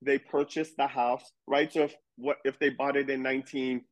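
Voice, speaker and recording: A man's voice: mid-range voice, level tone, moderate pace, low-quality recording. A man talking candidly and animatedly in a casual podcast conversation, swearing now and then as he explains his views. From grew up in a Persian community. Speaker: reality TV star guest.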